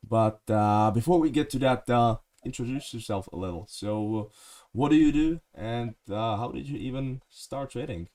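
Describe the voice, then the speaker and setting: A man's voice: mid-range voice, normal speed, dark tone. A man hosts an interview in English. He is friendly and keeps the questions going. He leans on "uh" between phrases as he talks. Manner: friendly, asks questions with frequent "uh" fillers. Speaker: interview host. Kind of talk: hosted interview over video call.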